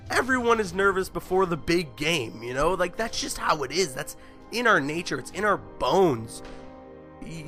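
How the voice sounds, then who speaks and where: A man's voice: on the high side, pushed and bright. A young man vlogging casual movie commentary while sick with a bad cold and sore throat, chatty and emphatic. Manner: chatty, filler-heavy, emphatic.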